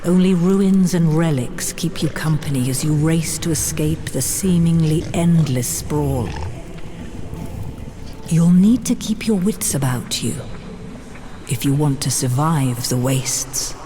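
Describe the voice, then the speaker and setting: Slow, portentous fantasy game narrator. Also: female voice, low voice, dark tone. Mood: ominous, measured. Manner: measured, portentous storytelling. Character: grave, dramatic female storyteller of fantasy adventure quests. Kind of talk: dramatic scripted fantasy game cutscene narration. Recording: roomy, long reverb, music bed. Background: clear background music.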